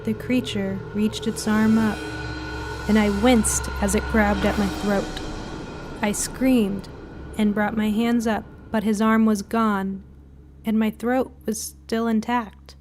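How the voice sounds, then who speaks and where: A woman reading a scripted spooky short story as narrator, in a dramatic, deliberate way. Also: mid-range voice, level tone. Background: music bed.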